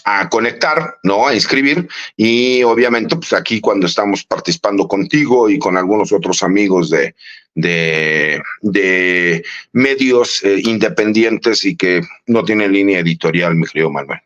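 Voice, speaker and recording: A man in his late forties, a lawyer, giving casual analysis in an interview and speaking warmly and familiarly to the host. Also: deep voice, a little slow, muffled recording. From Mexico. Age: late 40s. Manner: warm, conversational, often says "mi querido Manuel". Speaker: lawyer and political analyst guest.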